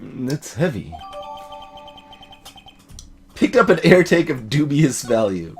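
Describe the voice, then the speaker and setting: Low-key game streamer over background music. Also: sing-song, slow, a little mellow. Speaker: let's play host voicing game dialogue. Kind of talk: casual game commentary and read-aloud dialogue.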